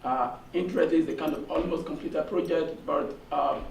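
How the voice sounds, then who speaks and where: Hoarse guy from nigeria in a seminar audience, gravelly, echoey room. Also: fairly high pitch, darkish tone. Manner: halting, many fillers, earnest. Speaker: audience member asking a question. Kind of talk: long question from the audience. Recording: echoey room, distant, faint noise.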